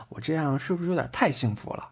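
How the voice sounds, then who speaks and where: An actor playing a teasing, boyish, devoted twenty-year-old boyfriend in intimate audio drama banter, in a colloquial way. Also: male voice, a little high, animated, brisk, breathy edge. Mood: bashful. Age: young adult. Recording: background music under the voice.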